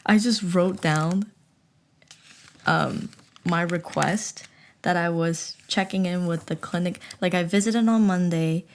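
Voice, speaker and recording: A woman's voice: on the low side, slow. A young woman vlogging in a casual, chatty way, telling a story with self-mocking humor and many restarts.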